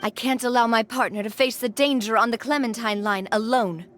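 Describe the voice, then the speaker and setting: A woman's voice: fairly high pitch, fairly even intonation, fairly quick, fairly bright tone, soft background music, lightly acted. An actress playing a resolute young female leader in an anime video game, speaking with commanding determination.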